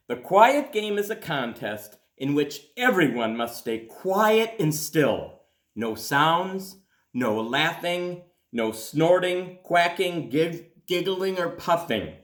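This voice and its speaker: Slow, expressive male storyteller in echoey room. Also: on the high side. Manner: deliberate, expressive storytelling with dramatic pauses. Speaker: school principal reading aloud. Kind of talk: children's storybook read-aloud.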